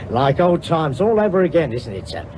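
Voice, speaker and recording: A man's voice: a little high, big pitch swings, a little slow, a little mellow. An actor playing a cheerful, loyal male sidekick who talks in chirpy British slang in an old radio serial. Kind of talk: 1940s radio acting. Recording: noisy old radio recording.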